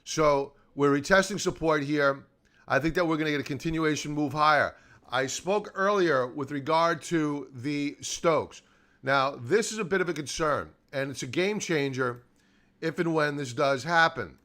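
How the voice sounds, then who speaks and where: A man with a slightly high, sharp and bright voice talks through market charts live as a trading host. He is informal but emphatic, restating his key points for stress and posing questions that he then answers himself. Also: moderate pace. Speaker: stock trading show host and technical analyst.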